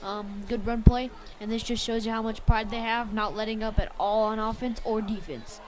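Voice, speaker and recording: A woman's voice: a little high, fairly even intonation, normal speed. A woman giving unscripted color commentary on a football game. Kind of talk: unscripted sports analysis. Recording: clearly noisy live game broadcast.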